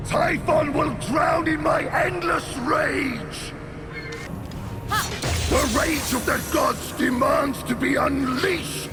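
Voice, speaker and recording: An actor playing a furious, vengeful chained war god in a video game, declaiming with grandiose theatrical bombast. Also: male voice, very high voice, drawn-out words, exaggerated voice. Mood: raging. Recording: loud game music behind.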